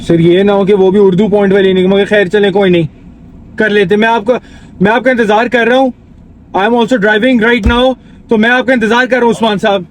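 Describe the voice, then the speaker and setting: A man's voice: high-pitched, fairly even intonation, normal speed, slight background noise, close to an everyday voice. A man with a Pakistani accent playing a condescending, fussy father seeking a tutor on a prank phone call, insistent and repeating himself. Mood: pressing, disappointed. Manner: insistent, repeats himself, mixes English into Urdu. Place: inside a car while driving.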